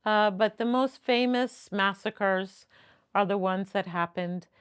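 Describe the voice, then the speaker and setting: A woman who directs an early music ensemble, speaking in a documentary interview and pausing to find her words. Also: medium pitch, smooth. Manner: thoughtful, searching for words.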